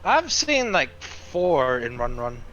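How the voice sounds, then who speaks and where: A man's voice: mid-range voice, wildly swooping pitch, slow, a bit bright, dull audio. A man bantering casually over voice chat as a gaming co-player, with a joking, self-deprecating manner.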